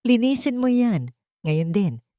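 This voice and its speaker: A woman's voice: a little high, very animated, slow pace, mellow, no echo, studio quality, voice pushed up. A woman voicing a spoiled young rich mistress of a mansion, sneering, taunting and imperious, in an audiobook drama. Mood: contemptuous.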